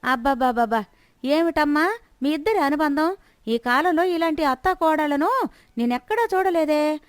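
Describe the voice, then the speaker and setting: A woman's voice: sits high, moderate pace, no room sound, clean studio sound, pitched above the performer's own voice, natural voice. A woman voicing a gushing older village neighbour in an exclamatory, dramatic way for a story. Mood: gushing admiration.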